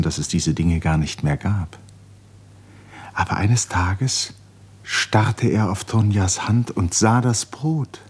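Low-voiced male radio play narrator. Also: a little mellow, slightly rough.

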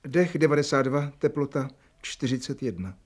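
An actor playing a dutiful young assistant doctor giving clipped clinical reports in a radio play. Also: male voice, medium pitch, animated, close to an everyday voice. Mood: tense.

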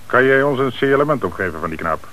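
An actor in a Dutch radio play playing a police commissioner who puts terse, probing questions with businesslike authority. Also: male voice, medium pitch, lively intonation, fast talker, fairly bright tone, lightly acted. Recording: noisy old radio play recording.

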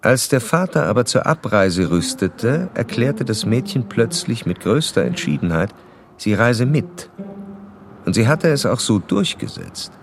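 An actor playing a detached, authoritative analyst doctor in a radio drama, speaking in formal case-report language. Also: male voice, medium pitch, sing-song, a little slow, a crowd in the background.

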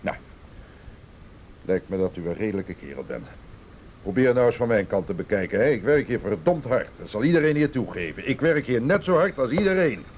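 A man's voice: medium pitch, big pitch swings, a little fast, darkish tone, a little hiss or hum, lightly acted. An actor in a radio play voicing a defensive businessman, indignant and evasive, stammering under pressure. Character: defensive, blustering businessman, a stepfather accused by police. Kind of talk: naturalistic radio play acting.

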